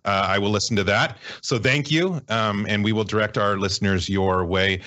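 A man's voice: on the low side, normal speed, bright, forceful tone, highs cut off. A man guesting on a podcast over a remote call, upbeat as he promotes a game event. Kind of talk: casual podcast chat.

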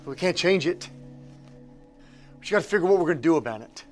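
A man's voice: fairly high pitch, expressive, quick pace, soft background music, natural voice. A man playing an earnest, peacemaking group leader in an audio drama, insistent and reassuring. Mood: firm, calming.

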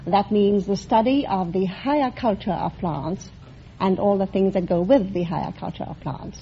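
A woman's voice: medium pitch, normal speed, dark tone, a little hiss or hum. A woman contestant on a quiz show, answering questions politely and earnestly. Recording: dull old radio recording.

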